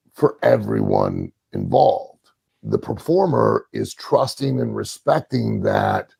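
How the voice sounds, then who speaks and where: A man with a gravelly, husky voice giving opinionated analysis in a podcast conversation, deliberate and emphatic, repeating key phrases. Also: medium pitch, darkish tone, no echo. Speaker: podcast panelist from the wrestling business.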